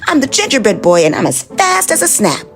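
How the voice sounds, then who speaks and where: A woman's voice: on the high side, huge pitch swings, fairly quick, pitched above the performer's own voice. A woman voicing a cocky little gingerbread boy who taunts in a singsong chant. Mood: taunting.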